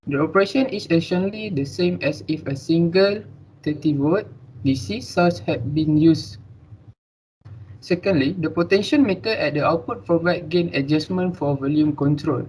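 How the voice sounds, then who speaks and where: A man's voice: on the high side, normal speed, darkish tone, slight background noise, low-quality recording. A young man with a Malaysian accent reading out a scripted student presentation. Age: young adult.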